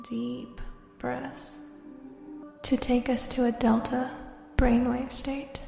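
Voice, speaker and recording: A woman leading a guided meditation, speaking slowly and soothingly, sometimes dropping to a whisper. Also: a little high, creaky. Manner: slow, soft and soothing, drifting into whispers. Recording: roomy echo with long reverb and soft music.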